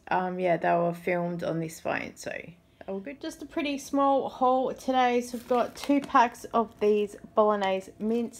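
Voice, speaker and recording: A woman chatting casually as she films the shelves on her phone, pointing out bargains with an easy laugh. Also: medium pitch. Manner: chatty, thrifty, laughs now and then. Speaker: vlogger. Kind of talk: casual shopping commentary. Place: supermarket aisles, later at home. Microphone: phone camera held in hand.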